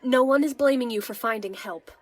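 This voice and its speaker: Woman playing a high-voiced motherly tavern keeper. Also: fairly quick, close to an everyday voice. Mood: concerned. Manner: motherly, affectionate, chatty. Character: motherly, teasing aged female tavern keeper. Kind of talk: fantasy audio drama acting.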